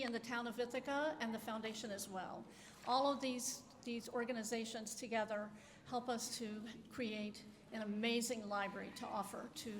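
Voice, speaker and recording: An older woman, a retired library director with a soft voice, giving a gracious thank-you speech at a live event. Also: a little high, a little hiss or hum. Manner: gracious, grateful. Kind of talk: ceremony speech. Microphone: PA microphone.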